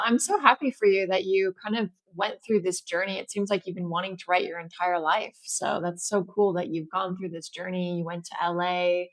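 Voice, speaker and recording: A woman's voice: on the low side, dry recording, rough recording. A woman hosting an interview, who talks in a casual, upbeat way and affirms her guest often. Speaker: interview host.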